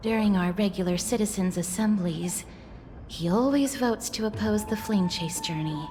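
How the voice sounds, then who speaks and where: An actress playing a gentle, polite young woman in a video game, explaining things in a measured way. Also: mid-range voice, lightly acted. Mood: calm, explaining. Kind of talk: scripted video game voice acting. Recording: faint music under voice.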